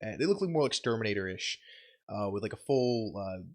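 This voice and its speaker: A young man sick with a cold, his voice deeper than usual, telling a rambling vlog story with lots of swearing and laughter. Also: animated, a little fast, a little mellow. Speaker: channel host. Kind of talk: casual vlog update.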